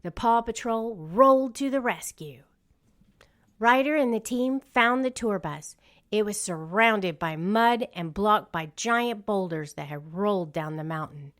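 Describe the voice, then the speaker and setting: A woman narrates a children's read-along story. Her delivery is deliberate and unhurried, with very lively, sweeping intonation that marks each phrase for young listeners. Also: mid-range voice.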